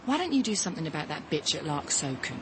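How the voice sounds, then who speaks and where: A woman's voice: low-pitched, sing-song, fast. Blunt, defiant young British woman, noisy background. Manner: blunt, colloquial, challenging. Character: blunt, defiant young mother, a secret lover. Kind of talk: radio drama acting.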